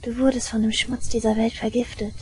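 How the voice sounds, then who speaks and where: Casting: actress as yandere shrine maiden girl, highish voice, intense and unhinged, audio drama with noisy background. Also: little pitch movement, lightly acted. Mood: hushed, resolute. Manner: intense, deliberate, swinging between hushed and frenzied.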